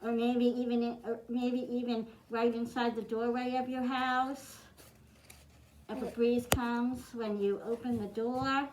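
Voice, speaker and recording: A woman's voice: on the high side, little pitch movement, measured pace, darkish tone. A woman demonstrating a craft for children, giving simple step-by-step instructions in an encouraging way. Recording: roomy echo, clearly noisy, clicking.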